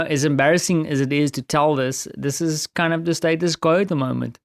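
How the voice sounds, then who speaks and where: A tired man with a South African accent and Afrikaans as his first language, talking in a casual, reflective, halting solo audio diary. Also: on the high side, no room sound, studio quality. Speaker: podcast host recounting his day.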